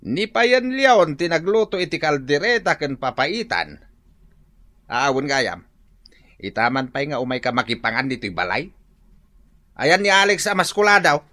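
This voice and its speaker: Excitable old Filipino father, wildly swooping voice. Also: a little high, normal speed, pauses often. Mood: complaining, boastful. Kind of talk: radio comedy drama acting.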